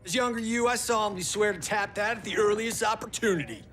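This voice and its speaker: An actor playing a brash, wisecracking action-star fighter with swaggering, slangy delivery in a video game cutscene. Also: male voice, sits high, fast talker, bright, forceful tone. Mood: cocky.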